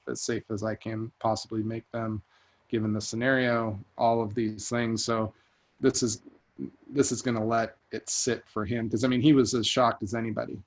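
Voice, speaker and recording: A vacation rental host on a group call, telling a story at a measured pace with frequent restarts. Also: male voice, mid-range voice. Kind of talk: casual group call chat. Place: online group video call.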